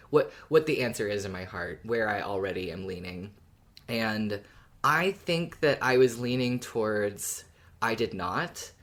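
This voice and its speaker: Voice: medium pitch, a bit bright. A podcast panelist in casual discussion, explaining thoughts in long, winding sentences.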